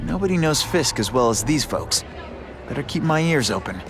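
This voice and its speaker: A man's voice: mid-range voice, big pitch swings, moderate pace. A man playing a quippy young American superhero in a video game, with an informal, youthful delivery. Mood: cocky, joking. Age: young adult. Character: wisecracking young male superhero posing as awkward reporter. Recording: music behind the voice.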